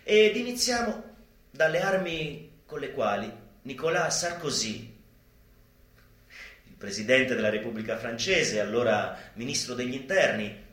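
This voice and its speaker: A man performing a satirical stage monologue in an ironic, emphatic manner with dramatic pauses. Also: mid-range voice, animated, fairly bright tone, reverberant. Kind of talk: theatrical satirical monologue in a live show. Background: live guitar music.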